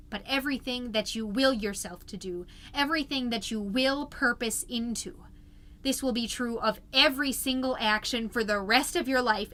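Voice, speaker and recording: Hypnotic self-help narrator, played by a woman, high clear-cut bright tone, deliberate incantatory phrasing, quietly ominous podcast comedy. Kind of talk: mock inspirational reading.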